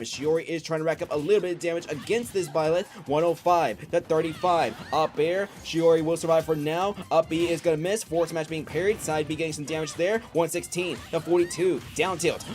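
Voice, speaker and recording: A young man with a slightly high voice calling a video game match play by play, rattling off moves and scores at speed. His voice is getting strained and hoarse from shouting the big moments. Faint game music plays behind him. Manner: rapid play-by-play calls, loud excited peaks. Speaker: commentator for an amiibo league. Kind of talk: fast play-by-play game commentary.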